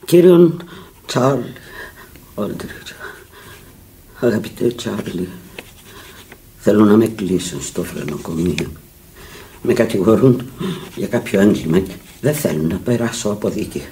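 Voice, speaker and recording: An actress in a radio drama playing a sick, bitter, foul-mouthed and desperate fallen woman, speaking theatrically and haltingly, often repeating words. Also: rumbling low, very animated, warm tone. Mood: pleading. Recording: noisy archival radio recording.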